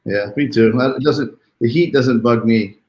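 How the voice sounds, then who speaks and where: Male interview host, welcoming and conversational, mid-pitched voice, roomy echoing sound. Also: moderate pace, darkish tone. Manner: chatty, welcoming. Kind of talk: live online interview, conversational.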